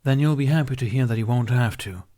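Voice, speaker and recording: A man's voice: mid-range voice, quick pace, warm tone, voice pushed up, close to an everyday voice. A man voicing a guarded male warrior master in an audiobook, dry, formal and glibly polite. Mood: glib politeness.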